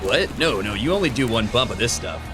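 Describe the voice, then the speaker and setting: An actor playing a brash, cocky, excitable young party guy in an audio drama. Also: medium pitch, fairly quick, music in the background, lightly acted. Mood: cocky, excited.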